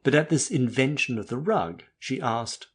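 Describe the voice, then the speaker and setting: A man narrating classic literature as an audiobook, with a husky voice and measured storytelling manner. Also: mid-range voice, animated.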